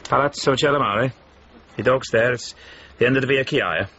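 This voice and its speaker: An actor playing a guarded, worldly man in a naturalistic radio drama, speaking in a measured, matter-of-fact, knowing way. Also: medium pitch, fairly bright tone, highs cut off, a little hiss or hum, natural voice. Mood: knowing, resigned.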